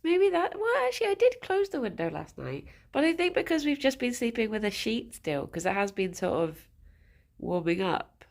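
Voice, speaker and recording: A woman's voice: a little high, very animated, normal speed, gravelly. A woman with a stuffed-up nose, chatting casually with her live chat, greeting viewers by name and giggling often. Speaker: streamer.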